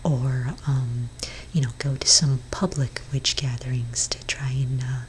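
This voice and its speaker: A woman speaking in a breathy whisper for a soft-spoken ASMR roleplay, playing a gentle, hesitant therapist for witches. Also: a bass voice, steady tone, slight background noise. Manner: gentle, hesitant, halting counsel.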